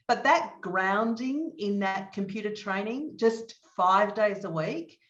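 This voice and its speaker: A middle-aged woman from Australia with an Australian accent, a guest telling her career story in an animated, emphatic way. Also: fairly low pitch. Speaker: guest, training consultant. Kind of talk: conversational interview answers. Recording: echoey, dull remote audio.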